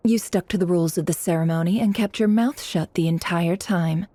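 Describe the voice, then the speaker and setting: A woman's voice: lowish voice, a little fast, mellow, dry recording, close to an everyday voice. An actress voicing a proud, lazy, sardonic young woman boss in a video game, with an arch and teasing delivery. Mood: haughty, teasing. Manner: arch, teasing, self-satisfied. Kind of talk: English video game dub acting.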